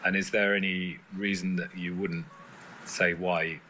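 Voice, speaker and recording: Low-voiced male reporter, noisy briefing room. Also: little pitch movement, pushed and bright. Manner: halting, persistent follow-up questions. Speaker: reporter questioning a government spokesman. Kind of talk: press briefing questioning. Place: indoor press briefing room. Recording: clear background noise, room ambience.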